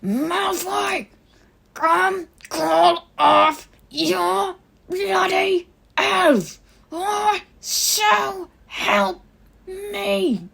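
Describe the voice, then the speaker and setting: A woman's voice: extremely high pitch, lively intonation, very slow, vocal fry, voice pushed up, exaggerated voice. A woman voicing a hot-tempered young male wizard official in a fantasy audiobook, brash, blunt and foul-mouthed. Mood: bellowing, furious. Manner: brash, blunt, slangy, loud. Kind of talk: audiobook character voice in a fantasy story.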